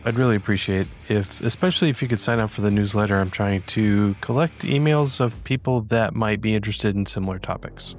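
A man's voice: on the low side, a little fast, hardly any pauses, faint music behind. A man hosting a podcast, curious and conversational as he introduces the episode and asks questions. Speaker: podcast host.

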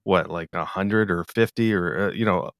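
A man from the US Pacific Northwest with an American accent, hosting a casual podcast interview in a halting, rambling way. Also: low-pitched, dry recording, studio quality. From US Pacific Northwest, eastern Washington. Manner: halting, rambling, hesitant delivery.

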